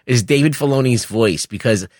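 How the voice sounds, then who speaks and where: A man's voice: medium pitch, normal speed, darkish tone, no echo, clean studio sound. A man co-hosting a podcast with loose, crude, joking banter. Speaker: podcast co-host. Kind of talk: casual banter.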